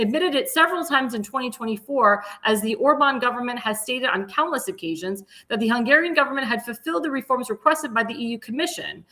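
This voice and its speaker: A woman hosts a political talk show and reads out news items with emphasis. Her voice is bright and a little higher than most.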